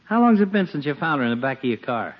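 Wisecracking small-town barber, slightly high voice, folksy, touchy, muffled 1940s radio comedy. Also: male voice, very animated, quick pace. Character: wisecracking, folksy, easily hurt neighbourhood barber.

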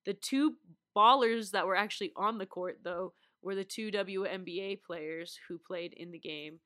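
A woman's voice: mid-range voice, moderate pace, bright, forceful tone, no echo, studio quality. A young adult woman with a stuffed-up, congested voice, chatting casually as a basketball podcast host, with lots of "like" and laughs. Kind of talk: casual chat.

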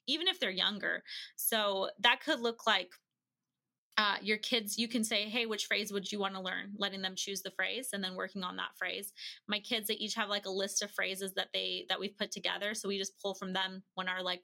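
A woman's voice: mid-range voice, normal speed, creaky, bright, forceful tone. A woman speaking as a podcast guest, giving chatty, practical advice with everyday examples and acted-out bits of dialogue. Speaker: podcast guest, language-learning educator. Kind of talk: casual conversational advice.

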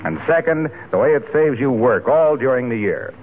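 A man with an American accent announcing and pitching a sponsor in polished, genial 1940s radio style. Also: animated, normal speed. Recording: tinny old radio recording, background hiss.

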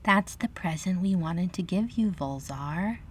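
A man voicing gentle little fairy sisters in a high, breathy whisper. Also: expressive, unhurried, slight background noise.

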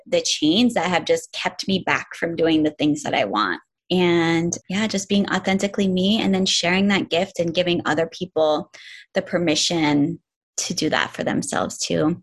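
A woman in her forties from upstate New York, a yoga and self-love teacher, talking reflectively as an interview guest with many "like" fillers. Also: lowish voice. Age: 40s. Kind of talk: casual interview chat.

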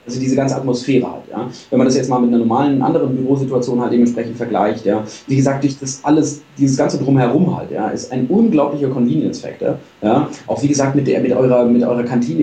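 A man's voice: medium pitch, dark tone, distant mic. A tired man hosting a casual chat show, rambling with many fillers and stumbles. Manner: rambling, filler-heavy, admits stuttering. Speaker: show host. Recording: roomy, from off mic.

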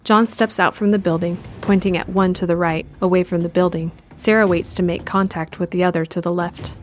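A woman narrating a scripted audio drama in a measured, descriptive way. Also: on the low side, steady tone, soft background music.

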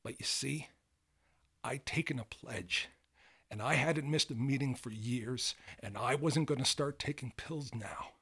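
An actor playing a remorseful, dying old man who confesses haltingly in an audio drama monologue. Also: medium pitch, fairly bright tone, husky, heavily acted. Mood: weary, reflective. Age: older.

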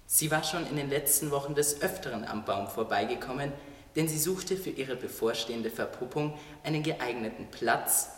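A man's voice: medium pitch, normal speed. Male story narrator in an echoing hall. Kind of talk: scripted storybook narration for an audio drama. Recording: echoey hall, distant from mic.

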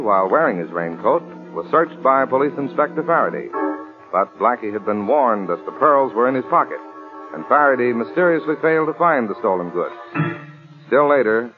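A narrow, tinny old radio recording with music clearly behind it. A male announcer reads scripted narration at a medium pitch in 1940s style. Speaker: announcer narrating the story recap. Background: dramatic program music.

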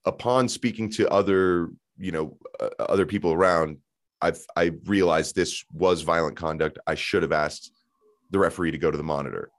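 A man hosting a casual football podcast, joking and animated, with frequent restarts. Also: low-pitched, normal speed, no room sound, clean studio sound. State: hoarse, voice shot in intro. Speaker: podcast host.

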